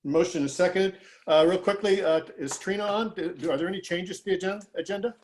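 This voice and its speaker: A man with a slightly raised voice runs a school board meeting on a video call, pausing often with ums and uhs. He moves through motions and seconds in a plain, procedural way. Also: high-pitched, a little mellow, roomy sound. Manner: hesitant, many fillers. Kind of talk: chairing a meeting. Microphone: home microphone on a video call.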